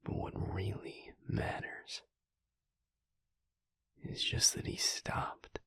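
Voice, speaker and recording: A man playing a protective, brooding vampire lover in an intimate audio roleplay, with a husky, breathy voice and a hushed, intense, deliberate delivery. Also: no room sound, in a whisper. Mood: earnest, frustrated. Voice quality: husky, breathy, gravelly low voice. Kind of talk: intimate audio roleplay acting, speaking to the listener.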